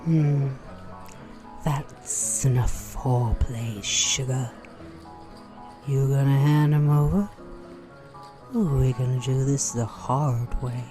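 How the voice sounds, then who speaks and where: A woman's voice: very deep voice, takes it slowly, long pauses, music in the background. A woman playing a sly, venomous bounty hunter in a Western audio drama, with a sugary, mocking Southern American drawl. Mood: smug, threatening. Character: sly, venomous female rival bounty hunter in Old West. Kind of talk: Western audio roleplay acting.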